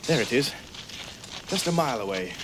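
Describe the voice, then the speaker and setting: Actor as awkward everyman in space, hesitant, understated but swoopy pitch, radio comedy, noisy background. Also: animated, normal speed, natural voice. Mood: earnest, awkward. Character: diffident, mildly bewildered everyman space traveller. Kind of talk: radio comedy drama acting.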